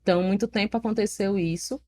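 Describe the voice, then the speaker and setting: A young woman with a Northeastern Brazilian accent, telling her story in a casual interview in an animated, frank way, laughing at herself. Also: medium pitch, fast talker. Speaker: poet, interview guest.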